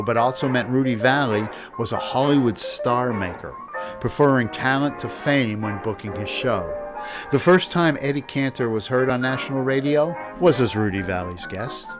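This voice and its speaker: Male documentary narrator, average pitch, wry scripted read with prominent music underneath. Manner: wry, jokey. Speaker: narrator of old-time radio history.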